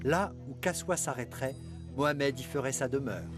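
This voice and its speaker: An actor playing a devout man who fondly recalls his youth, telling it earnestly and reverently in an animated film. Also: medium pitch, normal speed, over music, lightly acted. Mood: reverent, nostalgic. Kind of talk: scripted storytelling for an animated film.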